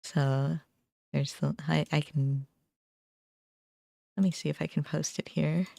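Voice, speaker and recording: A young adult woman chatting casually on a livestream, musing informally in short, halting phrases. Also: deep voice, steady tone, creaky, dark tone, no echo, studio quality. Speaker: game streamer. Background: background music.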